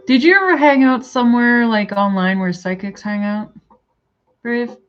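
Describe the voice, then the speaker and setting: A woman's voice: on the high side, moderate pace, dull audio. A woman with a Canadian accent, chatting casually and rambling to her viewers on a livestream. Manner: rambling, chatty, thinks aloud and answers chat. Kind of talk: casual live chat.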